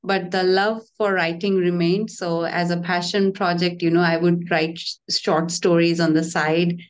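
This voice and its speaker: A woman's voice: fairly low pitch, level tone, a little slow. A woman from Mumbai talking easily about her path to writing on a video-call author panel. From Mumbai, India. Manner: easy, storytelling, light laughs. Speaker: debut mystery novelist on a panel. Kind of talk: casual panel conversation. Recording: dull, muffled video-call audio.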